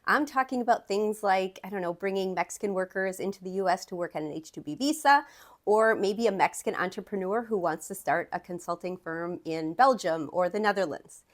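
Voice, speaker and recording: A woman from the American Midwest speaks with a Wisconsin accent, sounding like someone in her thirties. She talks straight to camera as an immigration attorney, chatty and upbeat, restarting with "okay" and "um" as she goes. Her pitch sits in the middle range for a woman. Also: a little fast. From United States. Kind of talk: direct-to-camera talk.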